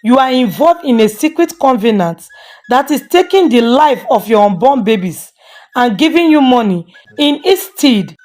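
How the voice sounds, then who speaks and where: Woman voicing a high-pitched, scolding market woman. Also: lively intonation, moderate pace, darkish tone, faint music behind. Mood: scornful, abusive. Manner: scolding, accusatory. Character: spiteful, jeering market woman hurling accusations. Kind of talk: storybook folktale character voice.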